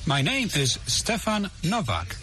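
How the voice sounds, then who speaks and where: A man's voice: sits high, wildly swooping pitch, a little slow, sharp tone, a little hiss or hum, full character voice. A man acting a polite Polish student in a scripted lesson dialogue, speaking careful learner's English. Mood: earnest.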